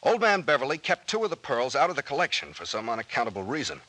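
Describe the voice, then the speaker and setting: Animated, self-assured American sleuth captain. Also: male voice, mid-range voice, fast, full character voice, low-quality recording. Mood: explaining, amused. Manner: explanatory, chuckling, self-assured. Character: confident, genial sea captain sleuth explaining a solved mystery. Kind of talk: old-time radio drama acting.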